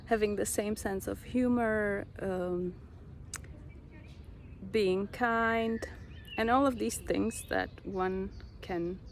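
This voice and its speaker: A young Czech woman with a Czech accent, slowly sharing a personal spiritual story with many pauses. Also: mid-range voice, creaky, a little hiss or hum. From Czech Republic. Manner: slow, reflective, pausing often. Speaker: vlogger.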